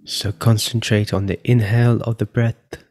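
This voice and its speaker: A man with a low-pitched voice guides a meditation. He gives soft, unhurried spoken instructions, leaving pauses between short phrases. The delivery is that of a podcast host leading listeners through an exercise. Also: little pitch movement.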